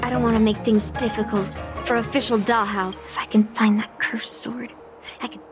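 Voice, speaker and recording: A woman's voice: a little high, fairly even intonation, normal speed, over music, natural voice. A woman playing a thoughtful, determined young investigator in a video game, musing and questioning as if to herself. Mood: pensive.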